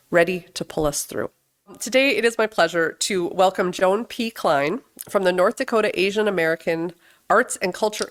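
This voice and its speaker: A woman with a slightly low voice leads a church service. She reads from prepared text in a measured, deliberate manner, like formal readings and introductions for a congregation. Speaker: worship service leader at a Unitarian Universalist fellowship.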